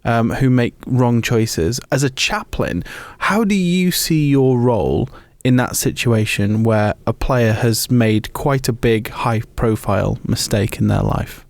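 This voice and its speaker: Gravelly-voiced man hosting a podcast. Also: mid-range voice, animated, measured pace, a little mellow, dry recording. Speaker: podcast host. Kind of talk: conversational podcast presenting.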